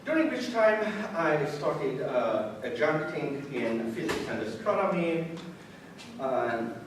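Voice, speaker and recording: A man with a Hungarian accent speaks in a very echoey room, far from the mic. His fairly high voice moves up and down a lot as he gives an informal, halting talk with self-deprecating humor. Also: very animated. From Budapest, Hungary. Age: 30s. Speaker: college science teacher giving a talk. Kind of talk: informal autobiographical talk to an audience. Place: echoey indoor room before an audience. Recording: echoey room, distant mic, noisy background.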